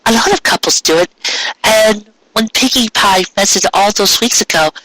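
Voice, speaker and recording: Man putting on a high, sweetly hesitant pony wife. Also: moderate pace, pushed and bright, no room sound, rough recording. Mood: nervous, hopeful. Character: tender, bashful married female cartoon pony. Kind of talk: fan fiction storybook reading.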